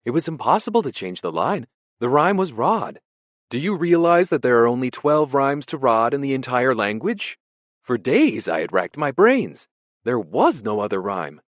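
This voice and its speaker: A man's voice: mid-range voice, sing-song, normal speed, no room sound, pitched above the performer's own voice, lightly acted. A man voicing a nervous, pedantic male poet held as a prisoner, speaking vaguely and with fussy indignation. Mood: bewildered, pedantic.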